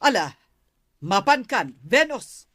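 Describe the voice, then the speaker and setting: A woman's voice: fairly high pitch, big pitch swings, slow, a bit bright, dry recording, clean studio sound, full character voice. A woman playing a harsh, accusing aunt in a radio drama, scolding and commanding, with an Ilocano Filipino accent. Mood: bossy.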